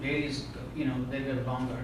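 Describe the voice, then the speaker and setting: A man's voice: medium pitch, little pitch movement, normal speed, noisy background. Indian-born man, echoey conference hall. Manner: earnest storyteller, frequent "you know". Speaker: conference speaker. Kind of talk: personal testimony talk. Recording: echoey hall, speaker far from mic.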